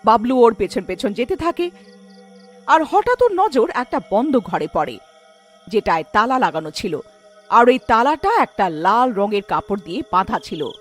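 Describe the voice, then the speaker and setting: A woman narrating a scripted horror tale for a cartoon in a dramatic, expressive storytelling manner. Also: on the high side, normal speed, soft background music.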